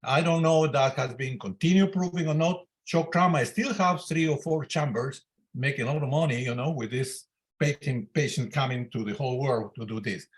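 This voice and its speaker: A man's voice: mid-range voice, normal speed. An elderly man, a senior surgeon, commenting informally at a medical discussion in loosely built non-native English. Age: 70s to 80.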